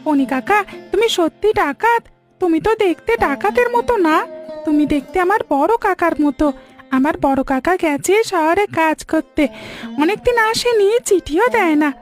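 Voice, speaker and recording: An innocent little village child from a cartoon speaks in an extremely high voice. The child is affectionate and full of questions. There is music behind the voice. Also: female voice, animated, moderate pace. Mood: curious, pleading. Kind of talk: Bengali cartoon story voice acting. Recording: music playing under the voice.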